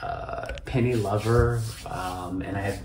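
Deep-voiced American man in an echoey room. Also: fairly even intonation, measured pace, darkish tone. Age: 50s. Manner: rambling, conversational. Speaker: vlogger. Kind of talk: casual nostalgic chat to camera. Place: small home office, indoors. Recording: echoey room, distant mic, noisy.

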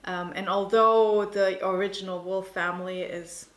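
A woman in her thirties being interviewed as a winemaker, explaining informally and pausing to think as she goes. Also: medium pitch, fairly even intonation. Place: indoor tasting room with wooden table. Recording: roomy, echoing indoor sound.

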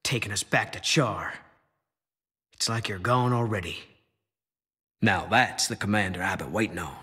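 A man's voice: lowish voice, moderate pace, bright, forceful tone, husky, no room sound, heavily acted. Male space crewman, blue-collar, insubordinate, slangy, sardonic, game dialogue, close dry sound. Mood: approving. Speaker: game character voice actor. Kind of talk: video game character barks.

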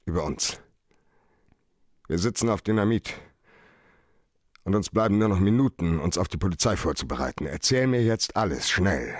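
Casting: cynical private detective for radio noir. Low voice, terse, accusing, sardonic, dry studio sound. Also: male voice, expressive, normal speed, pauses often, no echo. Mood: pressing. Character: hard-boiled male private detective, cold and sardonic. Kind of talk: radio crime drama acting.

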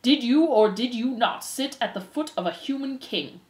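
An old, pompous male mouse in a storybook presides like a judge , acted out by a woman. The delivery is slow, commanding and formal, with strong swings of pitch in a roomy recording. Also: expressive, darkish tone, close to an everyday voice. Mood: stern, solemn.

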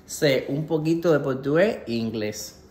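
A man's voice: on the high side, unhurried. Male vlogger, twenties, Cuban accent, informal and playful, recorded in an echoey home kitchen. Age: 20s. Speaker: cooking vlogger. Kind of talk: casual question-and-answer vlog. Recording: echoey home kitchen, faint background noise.

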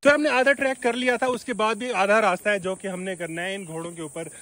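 Brief: young male travel vlogger, very high voice, excitable and winded, selfie phone outdoors. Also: fast talker, heavy background noise. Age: young adult. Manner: excitable, joking, breathless from hiking. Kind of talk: casual travel vlog. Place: outdoors on a mountain trail. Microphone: handheld phone camera at arm's length.

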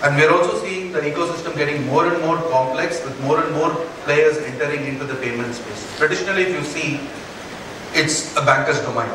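A man in his forties with an Indian English accent, giving an earnest conference keynote on a large stage and often checking in with the audience with a quick "right?". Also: fairly high pitch, background noise. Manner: earnest, rhetorical questions, frequent "right?" tags. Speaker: keynote speaker. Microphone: headset mic. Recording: echoey hall, heard from far off.

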